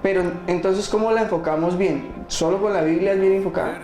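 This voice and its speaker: A woman's voice: medium pitch, fairly even intonation, a little fast. A woman with a Colombian accent, hosting an informal, conversational interview. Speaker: podcast host interviewing a guest. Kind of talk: casual chat interview. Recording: roomy echo with music behind.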